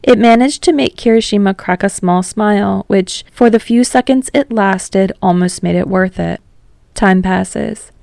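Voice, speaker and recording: Woman, story narrator, creaky voice, scripted reading, very dry recording. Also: mid-range voice, measured pace, a little mellow, no room sound. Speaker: fan fiction narrator.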